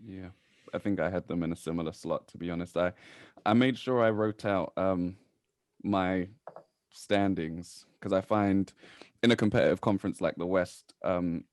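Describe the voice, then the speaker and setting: A young man co-hosting a basketball podcast, talking in a measured, agreeable way. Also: low voice, a little mellow, dry recording, clean studio sound. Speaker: podcast co-host. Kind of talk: casual basketball chat.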